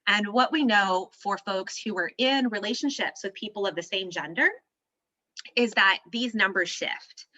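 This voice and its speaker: A woman leading a virtual training over a video call, her voice slightly hoarse, explaining steadily and clearing her throat now and then. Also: medium pitch, moderate pace, sharp tone, no echo, lo-fi sound.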